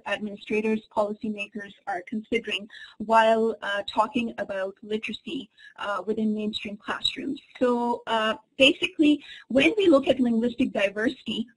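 A woman whose first language is Urdu, an associate professor, presenting a webinar lecture in a measured, explanatory way over a computer microphone. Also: a little high, rough recording. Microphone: computer microphone in an online webinar.

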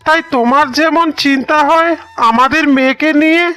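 A performer voicing a gentle, worried ageing father in a cartoon, earnest and reassuring. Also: high voice, moderate pace, faint music behind. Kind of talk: cartoon dialogue.

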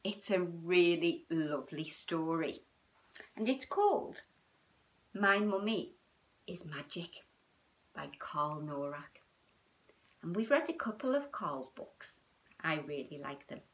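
Lively British woman chatting to children. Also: sits low, takes it slowly, long pauses. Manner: animated, sing-song, addressing children with frequent tag questions. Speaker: children's storytime and yoga host. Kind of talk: chatty, child-directed livestream talk. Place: small room at home, indoors.

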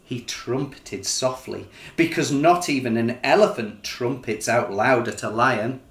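A middle-aged man reading a children's picture book in an expressive, measured, dramatic storytelling style. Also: medium pitch. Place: small living room, indoors. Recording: roomy living-room sound.

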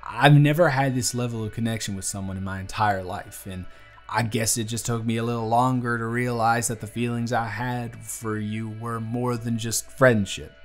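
A man's voice: medium pitch, close to an everyday voice. A man with a Southern US accent playing an earnest, charming young bartender in love in an intimate audio roleplay. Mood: nervous, confessing. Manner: earnest, conversational, sweet-talking. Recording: music playing under the voice. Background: acoustic music.

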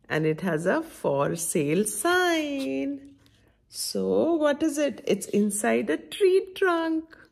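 A woman's voice: mid-range voice, gravelly, a little mellow. A middle-aged woman reading a picture book aloud to young children, expressively and with lots of questions put straight to them. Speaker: children's story time host. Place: indoor room at home by a window.

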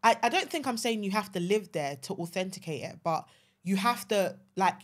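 A woman's voice: mid-range voice, lively intonation, normal speed. A young woman, a podcast host making her point in an emphatic, opinionated way. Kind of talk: casual chat. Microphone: studio mic on boom arm, headphones.

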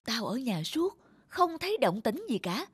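A sly cartoon thief. He is bossy and scheming, talking in a hushed, conspiratorial way with swooping intonation. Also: extremely high pitch, sing-song, unhurried, husky, dry recording, full character voice. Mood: scheming, urgent. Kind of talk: cartoon dialogue.

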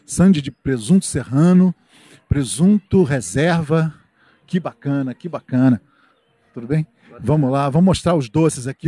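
A man's voice: a little high, warm tone, husky, no echo. A middle-aged man with a Brazilian accent hosting a live walking tour of a night-time Christmas fair outdoors, holding a handheld mic, chatty and upbeat, reading viewers' comments aloud. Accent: Brazilian Portuguese. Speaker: live-stream host and reporter. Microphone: handheld microphone.

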